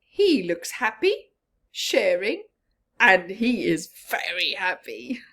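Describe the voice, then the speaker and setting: A woman reading a children's storybook aloud expressively, with chatty asides and often hushed tones. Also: mid-range voice, very animated, slow. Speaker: storyteller, teacher-like reader.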